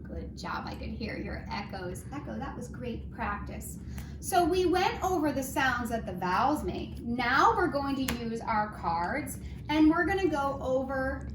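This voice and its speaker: Brief: encouraging woman teacher, a bit high, leading a kids' lesson; distant mic in an echoey room with background noise. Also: big pitch swings, unhurried. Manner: gentle, encouraging, singsong. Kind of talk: children's phonics lesson. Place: home. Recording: roomy, distant, noisy home recording.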